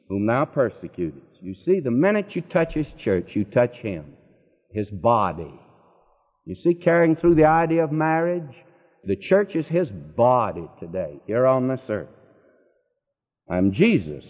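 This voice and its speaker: A man preaching a sermon dramatically, his voice rising when he quotes scripture, recorded long ago. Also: a little high. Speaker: pastor. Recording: echoey hall, tinny old recording.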